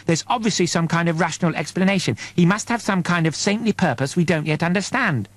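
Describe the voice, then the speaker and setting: A man's voice: sits high, fast talker, no echo, pitched above the performer's own voice. A man voicing a fanatically devout, indignant woman on a spaceship crew in an audiobook, declaiming piously.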